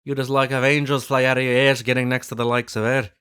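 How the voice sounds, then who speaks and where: A man's voice: medium pitch, little pitch movement, fast talker, fairly bright tone, smooth, dry recording, clean studio sound, natural voice. A man playing a cheeky, proud young passenger in an audio drama, with a breezy, blunt manner. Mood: proud, sardonic.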